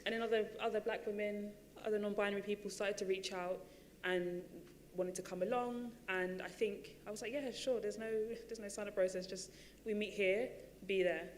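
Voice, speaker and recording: A woman's voice: mid-range voice, steady tone, moderate pace, long reverb tail, a little hiss or hum. A woman and running coach telling her story at a panel talk, chatty and full of fillers and restarts. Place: large theatre stage.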